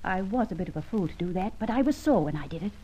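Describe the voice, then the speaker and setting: An actress in a vintage radio drama playing a spirited, defiant young accomplice, sparring in a pert, quick-witted way. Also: female voice, mid-range voice, animated, husky. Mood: sheepish, defensive. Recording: dull, muffled old radio recording.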